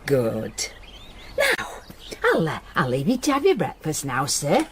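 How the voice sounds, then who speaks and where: A woman's voice: low-pitched, huge pitch swings, a little slow, slight background noise, full character voice. A woman playing a kindly, deferential, slightly uneasy landlady with a Northern English accent in a radio drama. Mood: reassuring. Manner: deferential, homely, solicitous.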